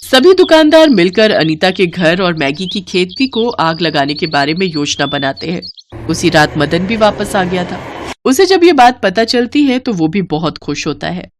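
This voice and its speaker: A woman's voice: fairly low pitch, very animated, measured pace, background noise. Woman narrating a moral tale. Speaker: storyteller. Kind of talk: scripted narration of a moral story.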